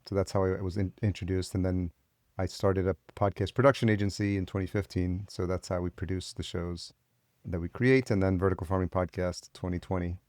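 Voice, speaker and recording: Deep-voiced male podcast host. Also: steady tone, brisk, darkish tone, dry recording, clean studio sound. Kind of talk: interview podcast.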